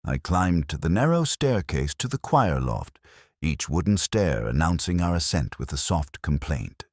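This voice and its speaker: A man reading a scripted first-person detective story as narrator, in a measured, reflective, literary manner. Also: rumbling low, sing-song, dry recording, studio quality.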